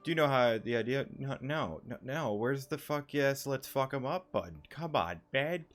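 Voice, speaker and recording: Slangy male gamer, mid-pitched voice. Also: animated, moderate pace. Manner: informal, slangy, swearing. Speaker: gaming YouTuber. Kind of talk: casual reaction commentary over a game. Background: game music.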